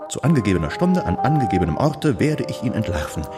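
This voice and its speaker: Pompous, grandiloquent radio-play gentleman, very animated pitch, florid indignation, music underneath. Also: fast. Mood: outraged, dramatic. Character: pompous, theatrical, indignant debt-ridden gentleman. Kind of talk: German radio play acting. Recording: studio radio drama with music.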